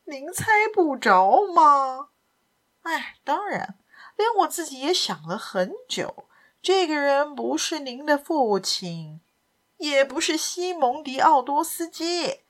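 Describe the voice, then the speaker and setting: A woman voicing a suave gentleman thief in an audio story, speaking with firm, declamatory confidence. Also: high voice, very animated, a little slow, pitched above the performer's own voice. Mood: explaining. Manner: assured, declamatory.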